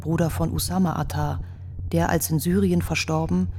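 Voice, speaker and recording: Dry factual woman reading dossiers in a radio play, gravelly mellow voice. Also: low voice, fairly even intonation, moderate pace. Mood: matter-of-fact. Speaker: narrator.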